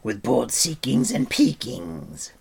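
A man's voice: mid-range voice, huge pitch swings, slow, creaky, crowd noise, pitched above the performer's own voice, exaggerated voice. Man doing an eager, fawning little creature. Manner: rhyming, third-person baby-like speech. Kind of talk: audiobook character voice.

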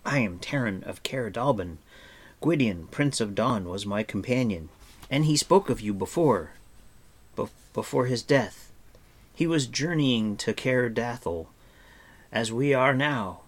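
A man's voice: mid-range voice, lively intonation, a little slow. A man voicing an earnest, brave young male hero who speaks urgently and eagerly. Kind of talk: audiobook character voice.

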